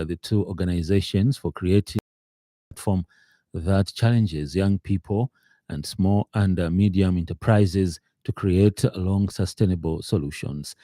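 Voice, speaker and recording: A very mellow, dark male voice reads the radio news in a Zambian accent. He sits low in pitch and delivers the script formally and with measure, in a dry studio sound. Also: dry recording.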